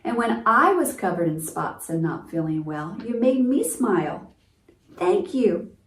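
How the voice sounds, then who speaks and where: A woman voicing a young male cat from a picture book, earnest and grateful, with an expressive, deliberate read-aloud delivery for children. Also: medium pitch. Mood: warmly grateful. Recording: roomy, distant mic, faint noise.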